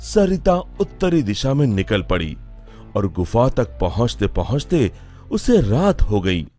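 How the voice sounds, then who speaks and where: A male narrator telling a cartoon moral story. He speaks slowly, with a lively rise and fall in his voice, over faint music. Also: on the low side.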